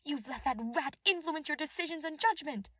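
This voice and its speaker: Woman playing a shrill, scheming royal advisor. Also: normal speed, dry recording, studio quality. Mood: furious, desperate. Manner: shouting, accusatory.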